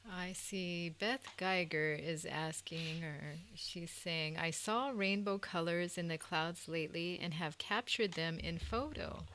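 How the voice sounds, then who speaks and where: Slightly low-voiced woman moderator. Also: slow, hardly any pauses, a bit bright, slight background noise. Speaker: moderator reading audience questions. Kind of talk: reading out live chat questions.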